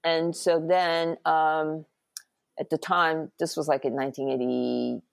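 A middle-aged woman from Japan, an animation producer, recounting her career in a relaxed interview with frequent ums and restarts. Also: sits low, measured pace, vocal fry, clean tone, no echo. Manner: reflective, many ums and restarts.

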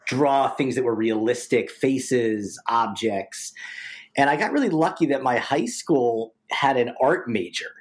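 Eager man chatting in an interview. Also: medium pitch. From New York. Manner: eager, rambling, many fillers and restarts. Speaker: interview guest, visual effects artist. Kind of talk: casual interview chat.

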